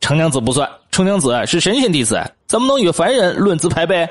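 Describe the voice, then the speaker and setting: A man voicing excitable, opinionated townsfolk gossiping in an audiobook, with assertive chatter. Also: a little high, fast. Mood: knowing, eager. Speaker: audiobook voice actor. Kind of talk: acted audiobook dialogue.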